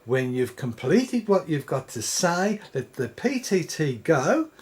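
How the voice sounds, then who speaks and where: A man's voice: on the high side, very animated, takes it slowly, a little mellow. A man giving a live how-to demonstration, explaining in a plain, patient way. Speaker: product reviewer.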